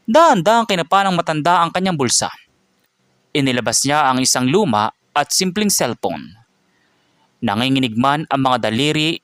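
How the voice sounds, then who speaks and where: A man narrating a scripted dramatic story in a steady storytelling manner. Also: a little high, smooth, dry recording, clean studio sound.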